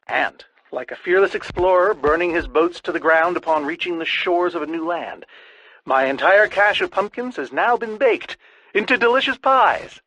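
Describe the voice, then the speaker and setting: A middle-aged man voicing an eccentric, lonely, excitable researcher in a video game, with verbose, pompous, theatrical diction. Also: high voice, moderate pace, no room sound, rough recording. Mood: musing, scholarly. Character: eccentric, lonely, excitable male researcher obsessed with studying creatures. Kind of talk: animated video game voice acting.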